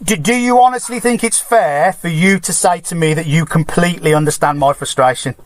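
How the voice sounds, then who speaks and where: A man's voice: a little high, brisk, no echo. A man speaking as a caller on a formal complaint call, insistent and blunt, repeating phrases for emphasis.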